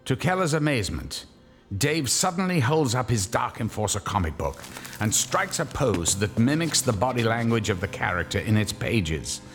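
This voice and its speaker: A man's voice: mid-range voice, very animated, moderate pace, faint music behind. A man playing the narrator of a radio horror drama, grave and suspenseful in his dramatic scripted delivery. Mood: brisk suspense.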